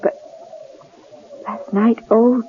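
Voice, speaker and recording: A woman's voice: a little high, flat delivery, narrow, tinny audio. A woman playing an anxious, introspective wife in an old-time radio drama, speaking in a hesitant, earnest way. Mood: halting confession. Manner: hesitant, earnest, halting delivery. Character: anxious, introspective young wife held captive, wanting independence. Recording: hissy, band-limited old radio drama recording.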